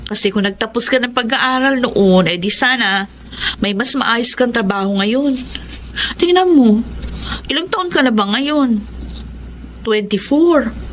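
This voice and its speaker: A woman's voice: a little high, animated, a little slow, pauses often, slight background noise. A woman playing a blunt, critical young mother and bossy older sister in a radio drama, with a Filipino accent. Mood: scornful, confident. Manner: scolding, sharp-tongued, self-assured.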